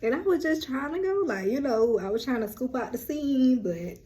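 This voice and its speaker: A young woman with a deep voice, hosting a rambling, casual lunchtime chat on her vlog. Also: expressive, normal speed, mellow. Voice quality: low, deep voice. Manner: chatty, rambling, self-described yapper. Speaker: vlog host.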